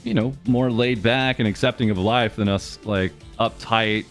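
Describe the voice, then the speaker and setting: A man's voice: fairly low pitch, moderate pace, faint music behind. A man from the East Coast of the US who hosts a casual chat. He laughs readily, reads out donations and reflects in a conversational way. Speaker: podcast host.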